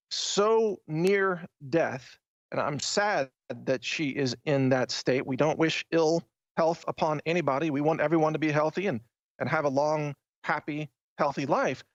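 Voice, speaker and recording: An American man with a slightly high voice delivers a talk show monologue in an opinionated, conversational way, leaning on key words for emphasis. The recording is dry and close, with a somewhat muffled, band-limited sound. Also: no echo. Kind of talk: political talk show monologue with product plugs.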